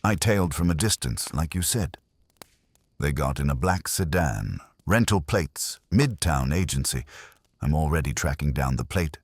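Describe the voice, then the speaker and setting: A man's voice: extremely low pitch, animated, normal speed, breathy edge, no room sound, heavily acted. A man voicing a matter-of-fact male detective's operative in an audiobook, reporting in clipped phrases. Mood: businesslike. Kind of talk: audiobook character dialogue.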